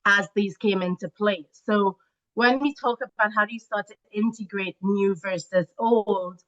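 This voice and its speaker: Female interview guest from Trinidad, explanatory expert talk, mid-pitched voice, dull recording. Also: a little slow, fairly bright tone. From Trinidad, Caribbean. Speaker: guest, corporate treasury and risk expert. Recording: dull, band-limited call audio.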